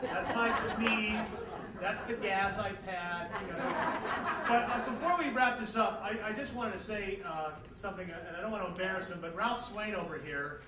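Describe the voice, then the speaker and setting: High-pitched American man speaking in an echoey venue. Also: normal speed, over a noisy crowd. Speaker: theater project organizer and host. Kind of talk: informal thank-you speech to a live audience. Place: indoor venue before a live audience. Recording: echoey venue, distant mic, noisy audience.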